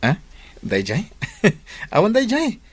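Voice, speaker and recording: A man's voice: sits high, huge pitch swings, takes it slowly, heavily acted. A man with an Ilocano Filipino accent playing a coaxing, evasive young boyfriend in a radio drama, casual in manner.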